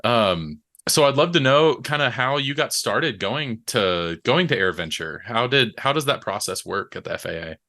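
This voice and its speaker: A man's voice: mid-range voice, animated, moderate pace, few pauses, pushed and bright. A man hosting a casual conversational interview, asking questions in an encouraging, affirming way. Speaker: interview host.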